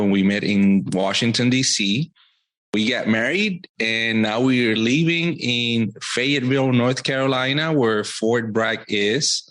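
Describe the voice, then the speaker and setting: A man's voice: fairly low pitch, a bit bright. Man talking earnestly. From Puerto Rico. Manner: earnest, reflective, uses fillers. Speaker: podcast guest. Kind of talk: casual interview talk.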